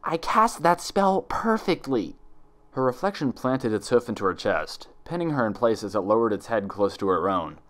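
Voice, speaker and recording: A man's voice: lowish voice, lively intonation, fairly quick, few pauses. A man reading a story aloud as narrator in a dramatic scripted style. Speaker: fan fiction narrator.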